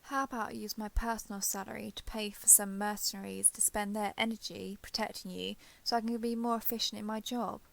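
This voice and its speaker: A woman playing a shy, guarded former villain turned ally in an intimate romance audio roleplay, halting, defensive and awkwardly sincere. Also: medium pitch, flat delivery, creaky, close to an everyday voice. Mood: grudging, defensive.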